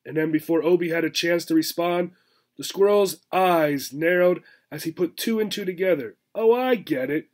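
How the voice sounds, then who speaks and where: Man, forties, fairly high voice, expressive children's storybook narration. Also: moderate pace. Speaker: teacher reading a children's book aloud. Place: small furnished room indoors.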